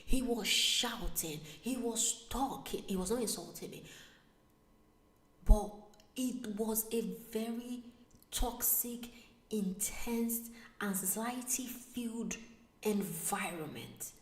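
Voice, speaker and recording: A woman vlogger telling a personal story in a casual, animated way, sometimes dropping to a whisper. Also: a little high, slow pace, recorded from a distance. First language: not Italian. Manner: animated, drops to a whisper for asides. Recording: echoey room, voice away from mic.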